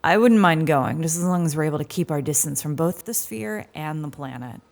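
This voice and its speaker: A cautious female crew member in a sci-fi audio drama. Her voice is low for a woman, with a lot of vocal fry. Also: animated, a little fast. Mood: wary.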